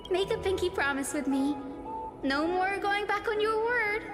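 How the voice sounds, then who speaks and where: A very high-voiced little healer girl from a fantasy game. She sounds sweet, devoted and earnest, with music clearly playing behind her. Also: lively intonation, moderate pace.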